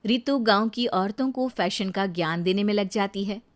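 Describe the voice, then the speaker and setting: A woman's voice: medium pitch, normal speed. A woman narrating a scripted cartoon story as the storyteller.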